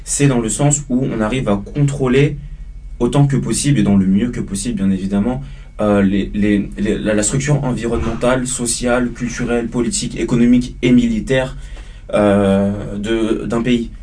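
A man's voice: medium pitch, steady tone, normal speed, mellow, distant mic, a little hiss or hum. A man in his twenties from the Paris area, a student guest who speaks hesitantly with many fillers and restarts. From Paris region. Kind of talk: debate discussion.

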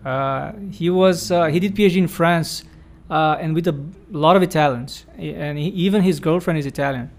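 A man's voice: fairly high pitch, moderate pace. A man hosting a casual academic conversation, explaining his points carefully with frequent fillers. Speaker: host and academic supervisor.

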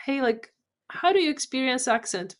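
A woman's voice: high-pitched, expressive, moderate pace. A middle-aged woman with a Polish accent, a startup founder being interviewed on a podcast, earnest and often repeating words as she finds them.

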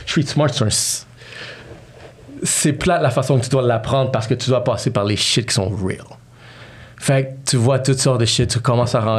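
A man in his thirties with a Quebec French accent, telling his life story as a podcast guest, freely mixing English slang into his French. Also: on the low side. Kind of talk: casual storytelling interview.

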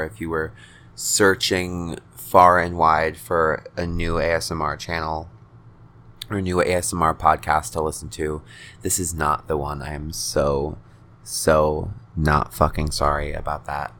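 A man's voice: low voice, a bit bright. A nineteen-year-old man hosting his own podcast, rambling casually with lots of swearing and self-deprecating jokes. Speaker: podcast host.

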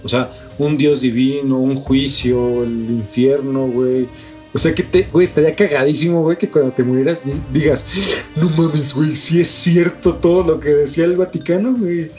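A man's voice: fairly high pitch, fairly even intonation, normal speed, a little mellow. A man with a Mexican accent co-hosting a podcast about strange news, talking casually with slangy, profane language. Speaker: podcast co-host. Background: music.

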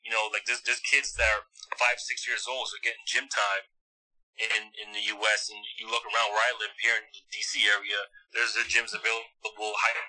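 A man's voice: fairly low pitch, flat delivery, brisk, bright, forceful tone, low-quality recording. A middle-aged man from Montreal, a former basketball player, chatting as a guest in a casual interview. He talks at length and with emphasis, often repeating words as he builds a thought. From Montreal, Canada.

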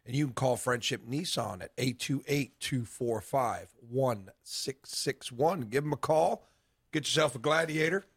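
A man co-hosting a sports radio show, reading sponsor addresses and phone numbers in a steady, measured way. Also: medium pitch, slightly rough, no echo, studio quality. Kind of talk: studio banter and sponsor reads. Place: radio studio.

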